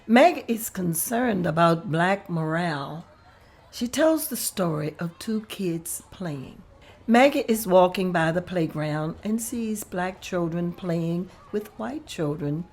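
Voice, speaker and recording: A woman reading stage directions aloud at a table read in a slow, measured way. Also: lowish voice, animated, darkish tone, breathy edge.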